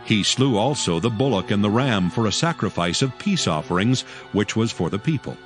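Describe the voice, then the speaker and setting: A man reads scripture as narrator, with a steady and solemn delivery. His voice sits low. A clear music bed plays under the reading. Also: normal speed.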